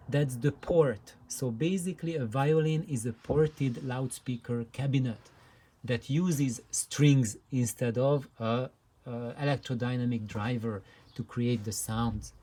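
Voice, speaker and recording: Slow, mellow-voiced man explaining. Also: medium pitch. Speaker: presenter of hobbyist audio videos. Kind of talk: unscripted explanatory demonstration.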